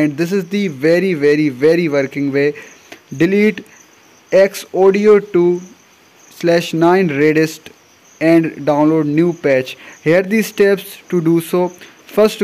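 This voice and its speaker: Man, slightly high voice, tutorial host, scripted step-by-step narration. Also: darkish tone. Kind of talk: scripted how-to narration, reading steps aloud.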